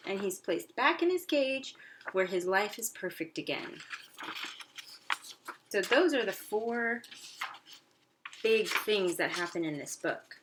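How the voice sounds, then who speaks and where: A woman's voice: medium pitch, expressive, slow pace, long pauses. A woman teaching young pupils in a read-aloud lesson, encouraging and instructional, with simple phrasing and frequent questions. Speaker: elementary school teacher. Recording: noisy recording with clicks.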